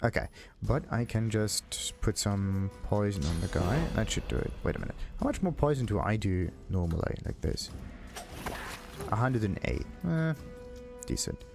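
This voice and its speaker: A man's voice: on the low side, animated, normal speed, gravelly. A man doing casual let's play commentary, thinking aloud to himself with game music behind him. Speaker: gaming video host. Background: video game music.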